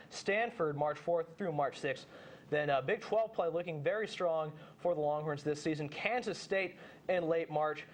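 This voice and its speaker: A man's voice: on the high side, slight background noise. A young man hosting a sports show, giving preview commentary and now and then stumbling over his phrasing. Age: young adult.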